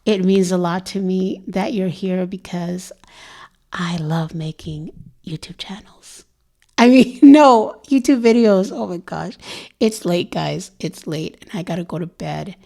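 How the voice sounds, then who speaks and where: A hoarse woman vlogging in a casual, rambling chat to her viewers, full of "you know" fillers. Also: on the low side, a little slow, dark tone.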